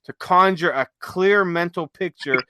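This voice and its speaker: A man's voice: high voice, a little slow, sharp tone, smooth. A man co-hosting a podcast in casual, enthusiastic, rambling explanations, sometimes reading from notes. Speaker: podcast co-host. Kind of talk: casual chat with some read-aloud notes.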